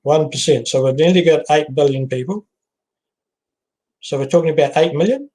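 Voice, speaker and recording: A man's voice: on the high side, normal speed, dark tone. A man hosting a casual video-call interview, chatting and asking short, friendly questions. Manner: chatty, asks short questions, laughs easily. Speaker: host.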